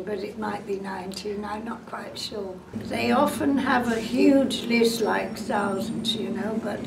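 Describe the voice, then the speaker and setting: A woman's voice: medium pitch, gravelly. An English woman in her eighties talking about her home in a chatty, meandering way. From England. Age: 80s. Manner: chatty, meandering, unsure of details. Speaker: senior housing resident. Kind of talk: interview testimony. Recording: echoey room, mic at a distance, background noise.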